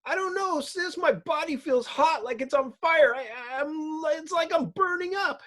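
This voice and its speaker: A performer voicing an impulsive, excitable teenage boy with a wide-eyed, melodramatic way of talking in a comic-book drama. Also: high voice, normal speed, voice pushed up. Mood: alarmed.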